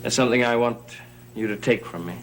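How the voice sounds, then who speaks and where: A man's voice: mid-range voice, quick pace, slight background noise, lightly acted. An actor playing an intense, insistent, earnest man in a gothic soap opera. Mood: tender, earnest. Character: intense, determined man investigating, protectively devoted lover.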